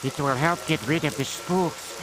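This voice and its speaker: A man's voice: on the high side, steady tone, moderate pace, very noisy, close to an everyday voice. A man voicing a gloomy, deferential, ponderous manservant of a haunted house in a cartoon game. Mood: formal. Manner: ponderous, formal. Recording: loud music behind the voice.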